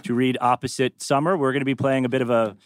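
A man's voice: mid-range voice, flat delivery, quick pace, dry recording, clean studio sound. A man hosting a live table read and narrating stage directions, tossing in wry, joking asides.

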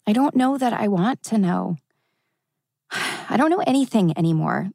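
A woman's voice: medium pitch, expressive, a little slow, vocal fry, darkish tone, no echo, clean studio sound. A woman playing an anxious, wry teenage girl, speaking hesitantly in a TV teen drama table read. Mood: anxious, unsure. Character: anxious, wry teenage girl torn over her family.

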